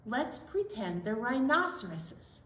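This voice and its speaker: Lively, higher-pitched woman leading story time. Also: slow pace. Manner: playful, instructional, simple repeated phrases. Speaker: children's story time host. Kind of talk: interactive storytime, addressing young children. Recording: echoey room, distant mic.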